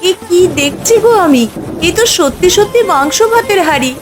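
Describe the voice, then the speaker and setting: A village housewife and mother, acted by a woman with a high voice. She is questioning and exclamatory, in cartoon storytelling style over soft music. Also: lively intonation, normal speed. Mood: amazed. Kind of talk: children's fairy tale cartoon dub.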